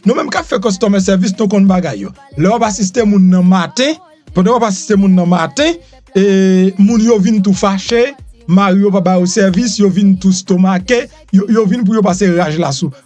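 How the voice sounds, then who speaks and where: A man's voice: high-pitched, normal speed, darkish tone, soft background music. A Haitian man hosting a live news show, commenting casually and mockingly while mixing Creole, French and English. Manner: switches between Creole, French and English, repeats phrases, teasing.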